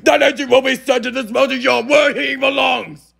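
A man voicing a boastful, scornful male steam engine in a cartoon audition, with a pompous, theatrical, sneering delivery and a raspy, gravelly voice. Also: extremely high pitch, steady tone, moderate pace, fairly bright tone, raised voice, full character voice. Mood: indignant.

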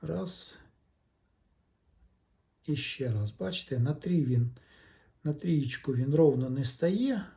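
A man giving a casual, step-by-step repair demonstration, thinking aloud in an unhurried, explanatory way. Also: fairly high pitch, lively intonation, creaky, warm tone. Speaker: electronics repair hobbyist narrating.

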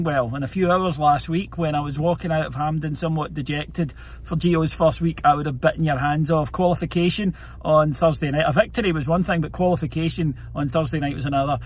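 A man's voice: a little high, slightly rough. A man in his thirties hosting a football podcast, giving a chatty post-match reaction from inside a parked car, filmed on a phone held close. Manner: chatty, animated, plain-spoken. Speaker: podcast host. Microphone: phone camera held close in the car.

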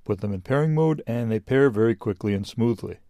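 A man's voice: mid-range voice, expressive, fairly quick, dark tone, no echo, studio quality. A man reading scripted narration for a product review video in a plain-spoken, explanatory way.

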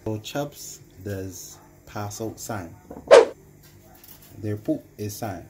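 A man's voice: lowish voice, expressive, extremely slow. A man with a Barbadian accent casually explaining cooking steps as a home cook. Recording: noticeable background noise.

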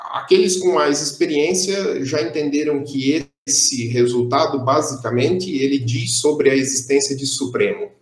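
A man's voice: a little high, reverberant. Young man lecturing on a video call. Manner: explanatory, steady, with frequent "é" fillers. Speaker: math lecturer. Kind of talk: online math lecture. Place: indoor room, on a video call.

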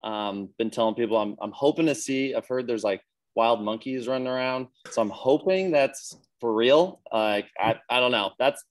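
A man's voice: mid-range voice, normal speed. A young American man, a baseball player, chatting easily as a podcast guest over a video call, with plenty of ums. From United States. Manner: easygoing, chatty, many fillers. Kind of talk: casual interview on a video call.

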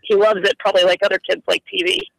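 A woman's voice: mid-range voice, flat delivery, fast talker, vocal fry, a bit bright, no room sound. A woman calling in to a radio show, talking casually and with enthusiasm. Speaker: caller. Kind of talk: casual phone-in talk. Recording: phone call on radio.

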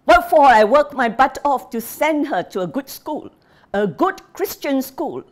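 A woman's voice: medium pitch, sing-song, measured pace, pitched above the performer's own voice. A woman playing a ranting furious old father. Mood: raging. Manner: shouting, scolding, jabbing repetition.

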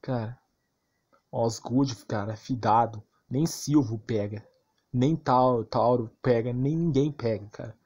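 A man's voice: mid-range voice, slow pace, darkish tone, highs cut off. A man with a Brazilian accent giving informal game commentary, arguing insistently and repeating himself with plenty of slang. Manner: argumentative, insistent, repetitive, slangy. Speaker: gaming YouTuber.

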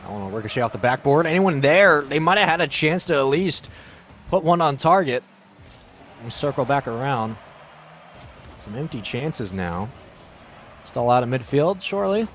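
A man giving casual color commentary on a high school esports match. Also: lively intonation, moderate pace, long pauses, fairly bright tone, a little hiss or hum. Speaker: esports co-caster.

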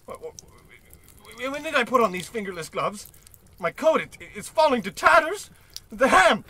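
A man plays a rich, self-important celebrity in a radio comedy, full of theatrical bluster. His voice is high and bright and swings wildly in pitch. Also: sing-song, moderate pace, breathy edge, full character voice. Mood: horrified. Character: pompous, excitable rich male celebrity host. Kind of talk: old-time radio comedy acting.

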